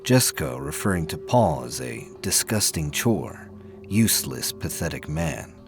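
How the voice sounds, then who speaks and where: A man narrating a science fiction audio drama in a solemn, measured, dramatic storytelling style. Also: a bass voice, very animated, gravelly, over music.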